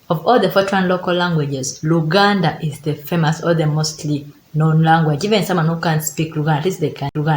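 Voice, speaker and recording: Low-voiced Ugandan woman vlogging in an echoey room. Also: a little mellow, a little hiss or hum. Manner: chatty, repeats herself, reads from notes. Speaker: travel vlogger. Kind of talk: direct-to-camera travel advice vlog. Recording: echoey room with faint ambience.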